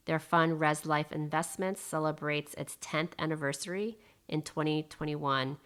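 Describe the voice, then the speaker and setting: A woman hosting an interview, introducing and putting questions to a guest. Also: low voice. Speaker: interview host.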